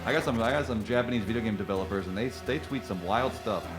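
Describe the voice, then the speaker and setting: A man's voice: on the low side, over loud music. A man co-hosting a live charity gaming stream, reading donations and trivia in a playful, teasing way. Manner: playful, teasing, quick to laugh. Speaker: marathon co-host reading donations. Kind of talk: casual live-stream banter.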